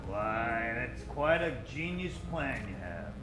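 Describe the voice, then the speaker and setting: A man's voice: medium pitch, very animated, slow pace, over music. Hard-boiled detective acted by a man, echoey room. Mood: incredulous. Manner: tough, threatening, punchy. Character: hard-boiled, bullying male private detective. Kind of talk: old-time radio detective parody acting.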